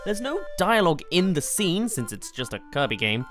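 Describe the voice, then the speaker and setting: Countdown-video narrator, expressive and emphatic, lively swings in pitch, music underneath. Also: moderate pace. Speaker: video game reviewer, host. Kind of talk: scripted countdown review narration.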